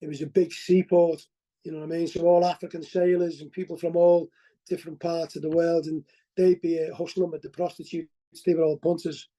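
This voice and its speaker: Man, Liverpool accent, middle-aged, recovery share, rambling, repeats himself, very mellow tone. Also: on the high side, little pitch movement, a little fast, poor audio. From Liverpool, England. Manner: rambling and candid, constantly asks "you know what I mean?"; restarts and repeats words. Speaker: recovery meeting speaker. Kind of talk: personal testimony at a recovery meeting. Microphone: video call.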